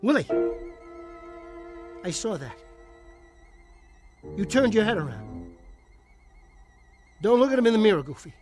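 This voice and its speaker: An actor playing an uneasy nightclub ventriloquist in a radio play. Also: male voice, high-pitched, sing-song, measured pace, pauses often, a little mellow, soft background music. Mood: troubled. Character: uneasy, troubled nightclub ventriloquist doing straight-man patter.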